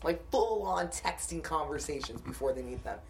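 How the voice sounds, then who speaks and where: Animated laughing woman podcaster. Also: sits low, moderate pace, gravelly, a little hiss or hum. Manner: animated storytelling with frequent laughter. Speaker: podcast co-host. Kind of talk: casual chat.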